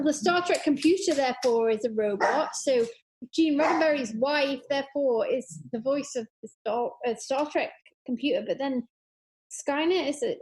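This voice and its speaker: A woman's voice: sits high, background noise. A woman in a casual chat about films, talking in an enthusiastic, rambling way. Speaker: podcast guest.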